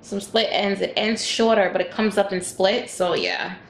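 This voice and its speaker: A woman with a raspy voice, chatting casually to her viewers as she reviews hair and walks through a tutorial. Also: mid-range voice, expressive, moderate pace, a little hiss or hum, rough recording. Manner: chatty, addresses viewers directly. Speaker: hair vlogger.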